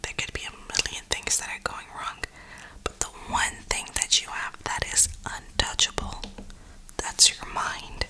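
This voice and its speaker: Whispering woman, bright breathy ASMR ramble. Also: poor audio. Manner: slangy, reflective, unhurried pauses. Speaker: ASMR gaming video host.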